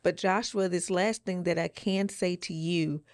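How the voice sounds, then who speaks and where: A woman co-hosting a podcast, giving casual advice in a deliberate, encouraging way. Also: lowish voice, steady tone, no echo, studio quality. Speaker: podcast co-host.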